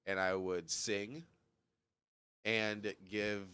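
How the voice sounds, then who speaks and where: Congested young man, crisp bright voice. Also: lowish voice, flat delivery, drawn-out words, dry recording, studio quality. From Michigan. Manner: joking, rambling, laughs often. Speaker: podcast guest, stand-up comic. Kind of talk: casual podcast chat.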